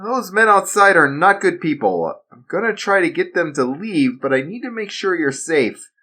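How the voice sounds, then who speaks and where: A man's voice: sits high, sing-song, normal speed, sharp tone, husky, pitched above the performer's own voice, heavily acted. A man voicing a blunt protective father. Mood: reassuring. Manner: reassuring to his son, defiant to enemies. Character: protective retired assassin father, steady and blunt. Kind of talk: amateur storytelling.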